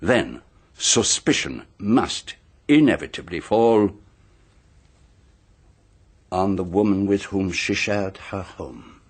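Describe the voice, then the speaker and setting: Radio mystery sleuth: composed, shrewd, methodical, low register with a husky grain, precise dramatic pauses. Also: male voice, expressive, full character voice. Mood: measured, accusing. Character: shrewd, composed male detective laying out a murder case.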